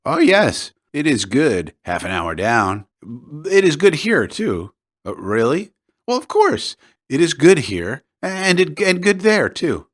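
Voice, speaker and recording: A man voicing a drunk old fisherman who talks loudly and eagerly in a rambling way. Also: a little high, very animated, slow pace, voice pushed up, full character voice. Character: drunk old Italian fisherman, boastful and excitable. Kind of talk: audiobook character voice.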